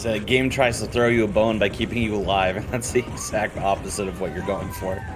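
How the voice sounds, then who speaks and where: A man's voice: fairly low pitch, steady tone, brisk, very noisy. A man chatting casually as co-commentator on a video game speedrun.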